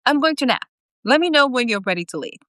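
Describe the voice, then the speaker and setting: Storybook senior scientist, male read by a woman: teasing, lazy, condescending, lively swings in pitch. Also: fast, no echo, studio quality. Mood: teasing, dismissive.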